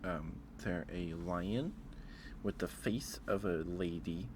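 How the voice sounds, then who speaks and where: Low-voiced, unhurried man streaming ASMR. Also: background noise. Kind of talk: casual ASMR chat with live viewers.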